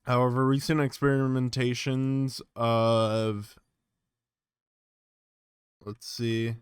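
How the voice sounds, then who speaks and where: A man's voice: mid-range voice, level tone, slow pace, smooth, dry recording, studio quality. A man doing casual reaction commentary as a streamer, thinking aloud and reading text off the screen. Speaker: streamer reacting to an analog horror series.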